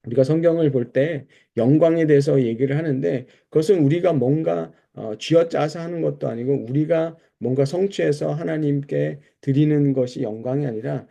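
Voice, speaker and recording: A man's voice: fairly high pitch, creaky, warm tone. A man preaching in a conversational, explanatory way, walking listeners through scripture and putting questions to them. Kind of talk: expository Bible sermon, conversational teaching.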